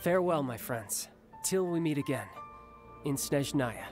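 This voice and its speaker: A man's voice: on the high side, lively intonation, a little slow. An actor playing a charming young male warrior who is confident, friendly and proud of his family. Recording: game audio with loud music behind.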